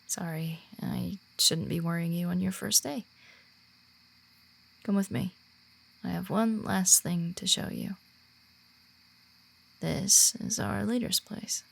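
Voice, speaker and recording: A woman's voice: lowish voice, steady tone, takes it slowly, gravelly, long pauses, exaggerated voice. A woman voicing a friendly female werewolf who guides and reassures a new pack member in a confiding roleplay audio. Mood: welcoming. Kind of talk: roleplay audio drama in ASMR manner.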